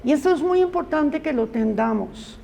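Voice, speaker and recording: A woman's voice: high-pitched, expressive, normal speed, creaky, dark tone, a little hiss or hum. A woman Bible teacher giving a conversational classroom lecture to students. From Colombia. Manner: earnest, conversational teaching with asides.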